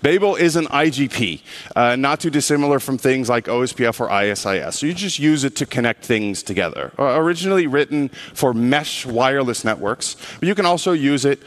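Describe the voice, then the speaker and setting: A man's voice: medium pitch, animated. A man from the Netherlands giving a casual, joking technical talk on a conference stage. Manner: casual, joking, quick asides. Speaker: conference speaker.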